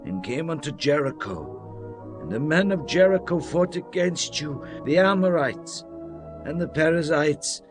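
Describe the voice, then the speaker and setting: A man performs an aged Hebrew leader addressing his people in a dramatized scripture reading. He speaks very slowly and solemnly, swelling with emphasis and dropping to grave hushed tones. Prominent music plays beneath the oratory. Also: a little high, expressive. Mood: solemn proclaiming. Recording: music under the voice throughout.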